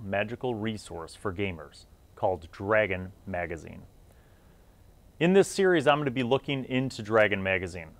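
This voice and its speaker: A man's voice: lowish voice, dry recording. Middle-aged man hosting a retrospective review. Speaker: video series host. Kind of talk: retrospective review talk to viewers.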